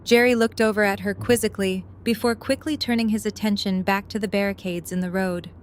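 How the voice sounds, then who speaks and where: A woman's voice: mid-range voice, fairly even intonation, fairly quick, slight background noise. A woman reading scripted third-person story narration for an audio drama.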